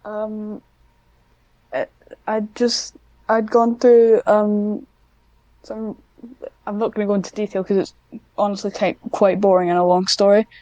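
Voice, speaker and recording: A woman's voice: mid-range voice, little pitch movement, unhurried, long pauses, mellow, no echo, rough recording. A woman chatting casually as an interview guest, hesitant and self-deprecating. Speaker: podcast guest, amateur scriptwriter.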